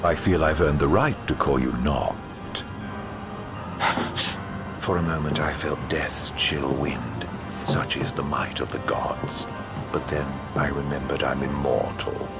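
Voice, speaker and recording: Smug game villain, gravelly, swooping mocking lines, music behind him. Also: male voice, a bass voice, slow pace, exaggerated voice. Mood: mocking menace. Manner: theatrical, silky, mock-polite. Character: smug, theatrical immortal male villain, mocking and sly. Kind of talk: video game villain acting.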